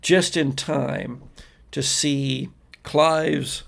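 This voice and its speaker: A man works as game master, narrating an improvised tabletop story. His voice is heavy with fry and his pace is slow. He sounds conversational and wry, often halting as he builds the scene. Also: on the high side, steady tone, fairly bright tone.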